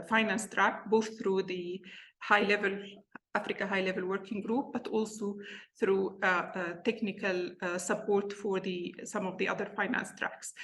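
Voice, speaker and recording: A woman's voice: medium pitch, fairly bright tone. A woman speaking on a policy panel, hesitant, with many fillers as she searches for words. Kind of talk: roundtable policy discussion.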